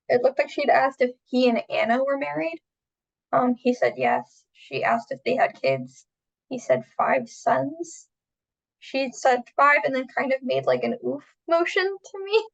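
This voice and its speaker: Brief: female student, heavy vocal fry, higher voice, hesitant, on a dull video call line. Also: expressive, slow. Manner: hesitant, with many ums. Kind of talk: answering in class.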